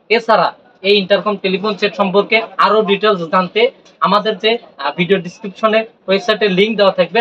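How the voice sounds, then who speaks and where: A man's voice: high-pitched, a bit bright. A man with a Bangladeshi accent giving an informal product demonstration as a shop presenter, explaining things repetitively. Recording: dull lo-fi sound with faint noise.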